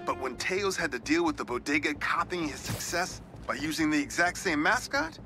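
A man's voice: on the high side, animated, normal speed, sharp tone, faint music behind. An actor playing a genial middle-aged New York newspaperman with an American accent, telling nostalgic, reflective stories in a video game. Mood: fond. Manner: storytelling, reflective. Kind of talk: game voice acting, conversational monologue.

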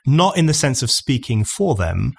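A man's voice: mid-range voice, very animated, moderate pace, vocal fry, slightly rough. A man in his forties, hosting a podcast in a studio, building long, earnest arguments at a condenser mic. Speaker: podcast host. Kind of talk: conversational podcast discussion. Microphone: studio condenser mic on stand.

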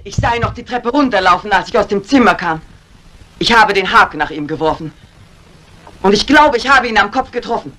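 An actress playing a resolute, sharp-tongued woman in a menaced house, urgent and dramatic, in a 1950s German radio crime drama. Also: medium pitch, expressive, a little fast, natural voice. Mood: determined, accusing. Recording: old radio play recording, faint hiss.

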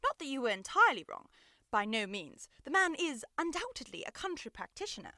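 A woman's voice: sits high, sing-song, brisk, bright, forceful tone, no room sound, clean studio sound, exaggerated voice. A young woman playing a brilliant, self-assured male Victorian detective in a theatrical, precise radio drama style. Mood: confident, instructive. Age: young adult. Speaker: student actor.

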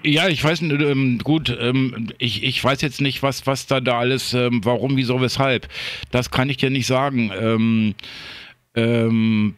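A man with a medium-pitched voice talks casually as a ham radio operator. His delivery is halting, with repeated words, and the sound is dry and close. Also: a bit bright, dry recording. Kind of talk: casual unscripted radio chat.

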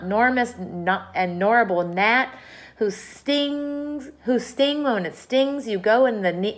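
A woman reading aloud as a grinning, boastful male centipede, chanting rhymes with a lively singsong delivery. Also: medium pitch, voice pushed up, lightly acted.

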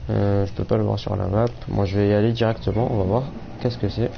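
A young man talks his way through a game in a slightly low voice that sounds stuffed up from a cold. His delivery is relaxed and rambling, full of fillers. The sound is muffled, with plain game noise and engines underneath. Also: fast. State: has a cold, voice a bit off. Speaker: gaming streamer. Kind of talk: casual let's play commentary. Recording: muffled mic with clear background noise.

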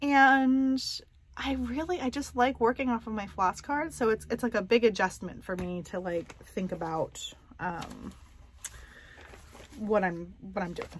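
Slow, softly chatty woman vlogger. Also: fairly high pitch, slight background noise. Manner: soft, unhurried, many ums and pauses; light self-deprecating humor with small laughs. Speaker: cross-stitch vlogger. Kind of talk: casual show-and-tell chat.

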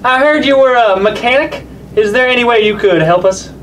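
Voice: medium pitch, normal speed, a bit bright, a little hiss or hum, lightly acted, low-quality recording. A performer voicing a mild, good-natured dad puppet in a children's show, hesitant and folksy. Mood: polite, pleased.

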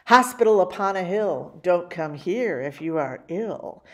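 A woman reading a picture book aloud as a story-time host, with theatrical, animated delivery. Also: lowish voice, unhurried. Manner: theatrical, with exclamations and spooky sound effects. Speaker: library story-time reader.

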